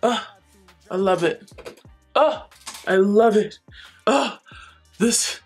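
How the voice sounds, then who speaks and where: A woman's voice: medium pitch, animated, drawn-out words, slightly rough, soft background music. A woman in her thirties chatting playfully through a wig tutorial in her bedroom. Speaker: beauty vlogger. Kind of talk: casual wig tutorial and chat. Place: bedroom with shelves, indoors.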